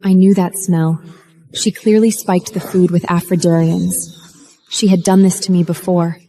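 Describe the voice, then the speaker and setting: A woman plays a cool, defiant heiress telling her own story of revenge. Her voice sits low and mellow, recorded dry and close, in measured dramatic narration. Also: steady tone, no room sound. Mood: measured, tense. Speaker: narrator and lead of an audio drama. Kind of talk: scripted first-person dramatic narration.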